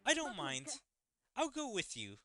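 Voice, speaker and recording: A man's voice: high voice, wildly swooping pitch, measured pace, a bit bright, faint music behind, heavily acted. A man voicing an anxious, kind young goddess in an earnest manner, reading a game story aloud. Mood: gentle, resolved.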